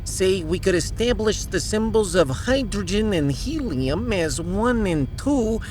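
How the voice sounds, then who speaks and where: A woman's voice: mid-range voice, expressive, measured pace, a little mellow, slight background noise, close to an everyday voice. A woman playing a pragmatic, sardonic starship science officer in a sci-fi audio drama, with a wry, analytical manner. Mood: thoughtful.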